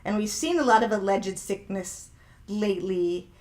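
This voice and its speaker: A woman's voice: medium pitch, normal speed, darkish tone. A middle-aged woman hosting a podcast who sounds younger than her age, talking in a reflective, conversational way and often hedging what she says. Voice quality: youthful-sounding voice. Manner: reflective, conversational, hedging with "allegedly" and "if you will". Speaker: podcast host. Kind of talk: casual commentary podcast, reading viewer comments.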